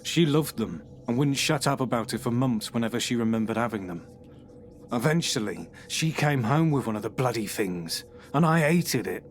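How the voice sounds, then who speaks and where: An actor playing a troubled man, giving a halting, brooding confession under questioning. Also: medium pitch, lively intonation, faint music behind, natural voice. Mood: uneasy. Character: troubled middle-aged man, paranoid and bitter. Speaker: suspect being questioned.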